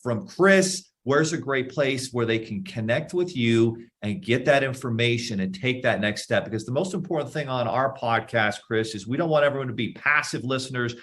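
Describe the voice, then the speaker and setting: Male podcast host, upbeat motivational read, middle pitch. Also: moderate pace, hardly any pauses, fairly bright tone. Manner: upbeat, hyping, calls listeners guys. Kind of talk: casual interview talk.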